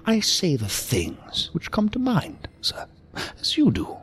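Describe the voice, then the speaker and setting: A man's voice: sits high, huge pitch swings, slow pace, a bit bright, whispered, heavily acted. An actor in an audio drama playing an odd, courteous, philosophical old man, speaking in a formal, ornate, theatrically sing-song way. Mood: cryptic, musing. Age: older. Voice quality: creaky, gravelly, breathy at times. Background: music.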